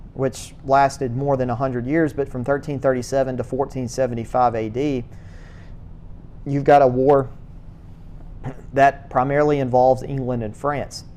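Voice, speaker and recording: A man giving a church history lecture to a class, speaking in a halting way, restarting words and filling pauses with uh. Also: medium pitch, darkish tone. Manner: halting, repeats words, many uh fillers.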